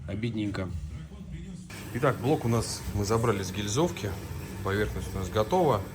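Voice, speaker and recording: A hoarse man with a lowish voice explains engine damage in a plain, matter-of-fact way. He talks like a working mechanic showing his bench. Music plays clearly behind him. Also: lively intonation, normal speed. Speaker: auto mechanic, channel host. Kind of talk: casual repair walkthrough. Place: car repair garage.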